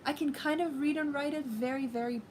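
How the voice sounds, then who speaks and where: A woman's voice: high voice, fairly even intonation, fairly quick, a little mellow, a little hiss or hum. A young woman whose first language is Farsi, hosting a livestream and chatting casually with her viewers, with many fillers. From Iran. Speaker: livestream host. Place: living room with a red sofa, indoors.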